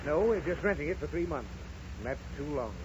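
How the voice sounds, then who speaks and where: A man's voice: fairly high pitch, expressive, a little fast, lightly acted. An actor playing an irritable American father in a 1930s radio comedy, grumbling and explaining things with huffy sarcasm. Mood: grumpy, impatient. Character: exasperated, grumbling father of a pestering little girl. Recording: dull, noisy 1930s radio recording.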